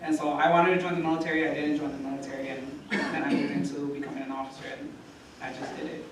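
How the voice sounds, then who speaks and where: A man's voice: a little high, level tone, quick pace, a loud crowd. A man from Puerto Rico and Milwaukee, a police officer, answering casually on a panel in short plain sentences. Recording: echoey hall, distant mic, background noise.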